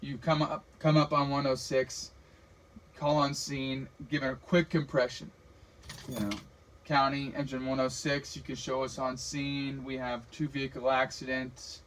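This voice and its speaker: A man's voice: medium pitch, slight background noise, low-quality recording. An American man giving an informal fire radio training lecture, explaining in a casual, plain-spoken way as the trainer. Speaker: firefighter radio communications trainer.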